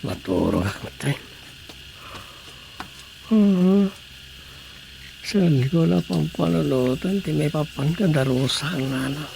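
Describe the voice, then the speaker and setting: Guy acting as grumbly ilocano husband in a radio comedy, slow n croaky, noisy night bugs behind. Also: fairly high pitch, lively intonation, warm tone, heavily acted. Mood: brooding, grumbling. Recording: noisy, insect-filled ambience behind.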